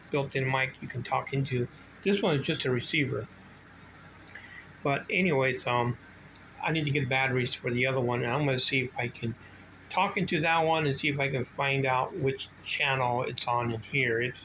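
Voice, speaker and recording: Older male hobbyist vlogger, bright-edged mid-pitched voice, informal and meandering, with noticeable background noise. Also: poor audio. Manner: rambling, informal, drifting between asides. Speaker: vintage bike collector demonstrating his gear. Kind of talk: casual rambling show-and-tell vlog. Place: indoor home workshop room.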